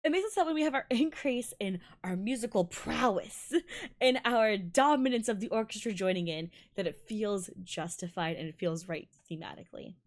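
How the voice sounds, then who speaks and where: A young adult woman whose first languages are Malayalam and Tamil, a violinist giving a bubbly, excitable casual breakdown of music and laughing often. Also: mid-range voice, moderate pace. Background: faint music playing.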